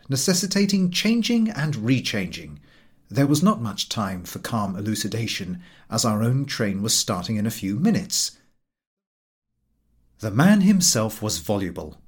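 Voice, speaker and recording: A man narrating a comic travel story as an audiobook reader, in a wry, measured and expressive way. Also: medium pitch, slightly rough.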